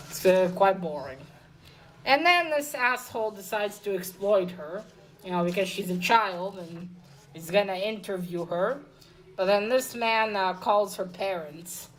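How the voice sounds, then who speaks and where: A woman's voice: medium pitch, slight background noise, poor audio. A woman giving a casual, unscripted comic book review, rambling and hesitant, correcting herself as she goes, with blunt informal language.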